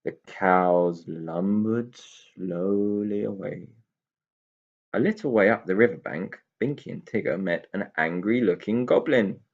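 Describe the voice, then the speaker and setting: Gravelly man reading a children's story. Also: fairly low pitch, a little slow, dull audio.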